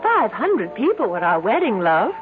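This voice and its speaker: An actress playing a breezy, coquettish, evasive wife in a 1970s radio drama, with a light, teasing, offhand manner. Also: female voice, medium pitch, very animated, moderate pace, music in the background, lightly acted. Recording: tinny old radio, faint noise.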